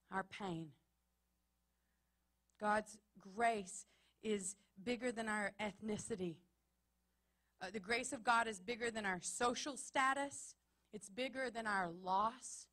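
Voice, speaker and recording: A woman's voice: mid-range voice, slow pace, long pauses, a bit bright. A woman from Texas with a Texan accent, preaching a sermon in an earnest way that builds to emphatic repetition. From Texas, USA. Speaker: pastor. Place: church.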